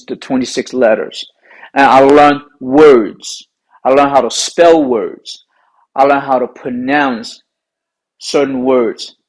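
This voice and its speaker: A man's voice: medium pitch, low-quality recording. A man with a Chinese accent, whose first language is Chinese, giving an earnest, emphatic golf lesson to camera. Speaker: golf coach. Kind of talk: instructional talk to camera.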